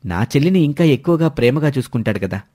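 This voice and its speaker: A man voicing a selfless young elder brother, explaining himself calmly and earnestly in an animated moral story. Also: mid-range voice, quick pace, lightly acted. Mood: earnest, resolved.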